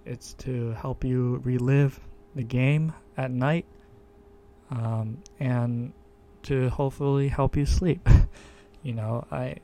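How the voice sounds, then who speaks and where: Slow, hesitant man with a low monotone voice. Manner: hesitant, many fillers and restarts. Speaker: podcast host. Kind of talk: casual self-introduction monologue. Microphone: desktop USB microphone.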